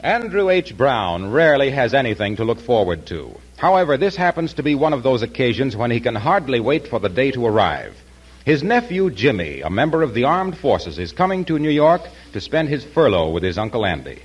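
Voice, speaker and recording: A man's voice: medium pitch, big pitch swings, brisk, husky. A man announcing and narrating a scripted 1940s radio show in a polished, formal manner. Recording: dull vintage radio broadcast, music behind. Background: music.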